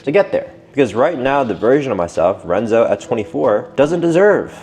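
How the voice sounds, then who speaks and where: A man's voice: mid-range voice, big pitch swings, moderate pace. A man in his twenties talking casually and candidly in a personal vlog, swearing freely as he reflects on his year. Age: 20s. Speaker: vlogger. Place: apartment. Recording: roomy sound with loud guitar music.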